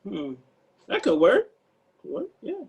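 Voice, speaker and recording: Man acting a high-voiced, hesitant screenwriter. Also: huge pitch swings, creaky, a little mellow, breathy edge, highs cut off, exaggerated voice. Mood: polite unease. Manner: halting, hesitant. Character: anxious screenwriter man pitching his action script to producers. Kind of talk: table read acting.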